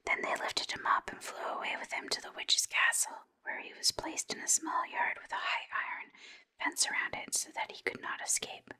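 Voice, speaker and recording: A woman softly whispering a storybook aloud in a steady, unhurried narration for ASMR.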